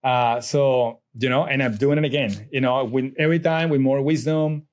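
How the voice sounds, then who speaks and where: A man's voice: mid-range voice, steady tone, normal speed, a little mellow. Middle-aged colombian guy, spanish accent, rambly casual interview talk, lots of uh and restarts. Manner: rambling, many restarts and fillers. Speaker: guest, real estate investor.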